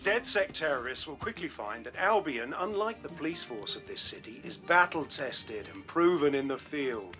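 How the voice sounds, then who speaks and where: A man acting as a hard, boastful mercenary chief in a game, assertive and menacing. His voice is fairly high, with prominent music behind it. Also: animated, moderate pace, hardly any pauses, natural voice. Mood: menacing, proud. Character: hard, boastful male military contractor chief executive. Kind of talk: public statement in a video game.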